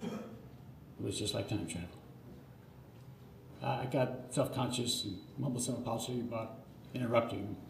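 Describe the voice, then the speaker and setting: A man's voice: mid-range voice, fairly even intonation, measured pace, pauses often. A middle-aged man performing a scripted autobiographical monologue live, theatrical and earnest, delivered phrase by phrase. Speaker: storyteller. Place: live radio theatre stage. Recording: roomy live theatre, clear background noise.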